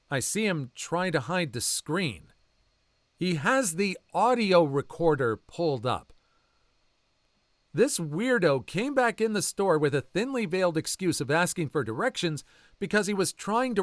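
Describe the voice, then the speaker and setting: A man reading online stories aloud as a channel narrator, with a lively, swooping delivery. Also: high voice, measured pace, no echo, clean studio sound. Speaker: story narrator.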